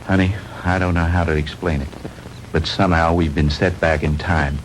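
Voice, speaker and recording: An actor playing a level-headed, reassuring man taking charge in a 1960s low-budget film. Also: fairly even intonation, moderate pace, a little hiss or hum, lightly acted, poor audio. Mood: grave, resolute. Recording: old film soundtrack with musical score.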